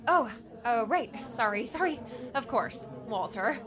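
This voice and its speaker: A woman's voice: fairly high pitch, lively intonation, measured pace, crowd noise. A woman playing an earnest, slightly awkward office worker with a polite, hesitant manner in an audiobook. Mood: awkward, apologetic. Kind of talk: audiobook character dialogue.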